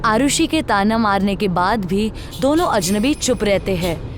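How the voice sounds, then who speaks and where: A woman's voice: mid-range voice, expressive, unhurried, noisy background. A woman narrating a scripted horror story. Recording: music under the voice.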